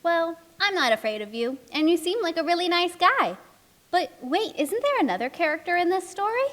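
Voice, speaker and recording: A woman's voice: very high voice, big pitch swings, moderate pace, a bit bright, exaggerated voice. A woman playing a friendly, curious narrator in a children's puppet show, telling the story expressively and chatting with characters.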